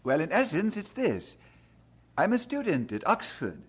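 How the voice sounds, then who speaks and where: High, husky, polite young student. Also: male voice, wildly swooping pitch, unhurried, full character voice. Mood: polite, explanatory. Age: young adult. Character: polite, modest young English student of humble stock. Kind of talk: audio drama acting.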